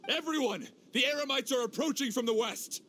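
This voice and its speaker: A man's voice: mid-range voice, expressive, brisk, a little hiss or hum, lightly acted. A man voicing an alert, dutiful rank-and-file soldier calling out reports in a video game.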